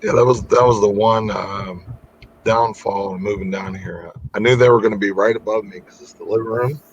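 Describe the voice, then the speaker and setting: Gravelly hoarse-voiced man, lo-fi call. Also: lowish voice, moderate pace. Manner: rambling, animated storyteller. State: voice getting hoarse. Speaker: livestream host. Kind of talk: casual chat while working. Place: home. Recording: lo-fi call audio with faint noise.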